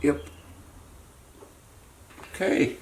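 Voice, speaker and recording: A man's voice: drawn-out words, slight background noise. A man giving a casual, unscripted hands-on repair demonstration, thinking aloud as he works as the host. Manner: muttering to himself while working, thinking aloud. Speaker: repair technician and channel host.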